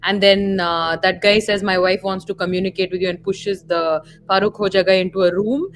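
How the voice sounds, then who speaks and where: A woman's voice: mid-range voice, flat delivery, fairly quick. A woman with an Indian accent co-hosting a casual film discussion, explaining and clarifying points as she goes. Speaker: live stream co-host.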